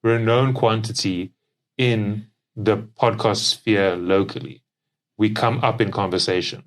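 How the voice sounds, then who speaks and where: Younger south african guy, kinda low voice, chatting casually on a podcast. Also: steady tone, a little slow, poor audio. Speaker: company co-founder and podcast producer. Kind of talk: casual conversational podcast chat.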